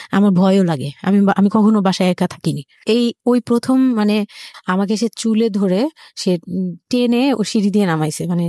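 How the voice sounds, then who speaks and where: A Bangladeshi woman as an interview guest, telling a personal story conversationally and candidly, with halting self-corrections. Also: mid-range voice, warm tone, dry recording, clean studio sound.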